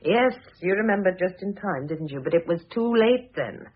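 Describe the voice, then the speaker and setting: Middle-aged former wife, radio play: dry, wounded but self-possessed, lively intonation, thin muffled vintage sound. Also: female voice, on the low side, moderate pace. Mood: reproachful. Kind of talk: vintage radio drama acting. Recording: muffled, narrow-band old radio recording.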